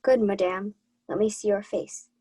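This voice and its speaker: A young woman playing a courtly, ardent young gentleman messenger, declaiming in a radio Shakespeare play. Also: medium pitch, fairly even intonation, normal speed, slightly rough, dry recording, natural voice. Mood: courtly flattery. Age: young adult. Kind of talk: 1940s radio Shakespeare acting.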